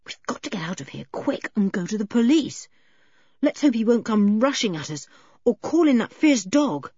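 A woman's voice: on the high side, big pitch swings, normal speed, warm tone, slightly rough, no echo, highs cut off, voice pushed up. A woman voicing a cool, determined and responsible boy in an audiobook, earnest and decisive. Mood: urgent. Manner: earnest, decisive, plain-spoken. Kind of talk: audiobook character voice.

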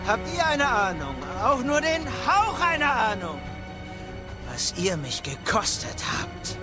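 Slow, high-pitched bitter villain. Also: male voice, wildly swooping pitch, full character voice. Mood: bitter, menacing. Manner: drawn-out, theatrical taunting. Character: bitter, menacing villain taunting his enemies. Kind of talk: audio drama acting. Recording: loud music over the voice.

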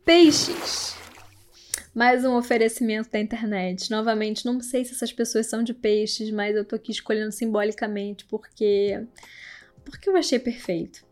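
A woman's voice: on the high side, little pitch movement, moderate pace, faint music behind. A young woman with a Brazilian accent, hosting a podcast and telling astrology-themed stories in a casual, laughing, slangy way. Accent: Brazilian Portuguese. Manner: chatty, laughing, slangy asides. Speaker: podcast host.

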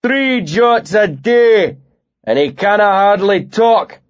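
A loud, swaggering mercenary with a thick northern accent, all mockery and menace, as a man puts him on. He drags out his taunts in a booming drawl. The sound is dry and a little muffled, like a home audiobook recording. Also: no echo, lightly acted. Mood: jeering. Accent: northern English dialect, put on. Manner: mocking, swaggering, drawn-out. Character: loud brawny bearded male mercenary bully.

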